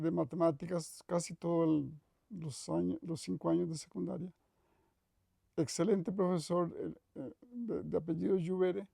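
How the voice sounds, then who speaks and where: Older costa rican guy reminiscing, real gravelly voice, kinda high, dry room sound. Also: dark tone, no echo. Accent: Costa Rican Spanish. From Costa Rica. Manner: thoughtful, measured, with frequent restarts and self-corrections. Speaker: retired professor interviewed. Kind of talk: reflective interview reminiscence.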